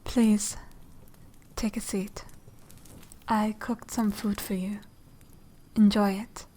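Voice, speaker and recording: Woman acting a hushed, mellow aristocratic vampire lady. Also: medium pitch, flat delivery, slight background noise, close to an everyday voice. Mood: courteous, reserved. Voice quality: soft, breathy whispering edge. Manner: hushed, formal, measured, genteel. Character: polite, aristocratic young lady vampire, formal and secretive. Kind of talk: ASMR roleplay, soft and half-whispered.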